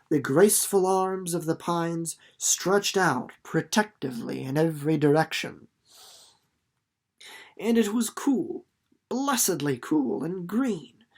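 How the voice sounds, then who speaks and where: A woman reading and informally discussing a children's novel, like a teacher reading aloud. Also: fairly low pitch. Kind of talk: storybook narration and informal commentary.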